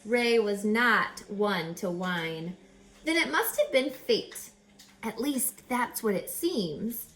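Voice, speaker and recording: A woman reading a rhyming children's picture book aloud as a teacher, with theatrical, deliberate, emphatic phrasing. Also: medium pitch, lively intonation, a bit bright, rough recording. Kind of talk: expressive children's read-aloud of rhyming verse.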